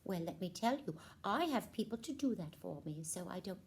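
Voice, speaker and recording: A woman's voice: fairly low pitch, lively intonation, a little fast, a little hiss or hum. A woman voicing a bossy, scatterbrained queen mother puppet, fussy and regal in manner, for a children's puppet show. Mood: pompous. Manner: regal fussing, emphatic, self-assured.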